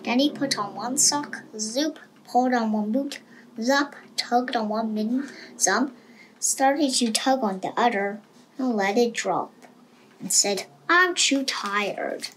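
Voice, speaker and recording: A woman's voice: fairly high pitch, slow, slight background noise, rough recording. A woman reading a children's storybook aloud as narrator. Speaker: storybook reader.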